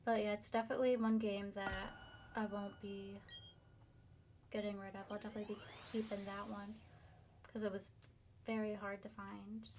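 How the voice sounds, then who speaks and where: A young woman, a bit hoarse, chatting casually with her viewers on a live stream. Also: mid-range voice, little pitch movement, moderate pace, long pauses, a little mellow, slight background noise. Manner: chatty, upbeat, reads viewer comments. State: slightly hoarse, losing her voice.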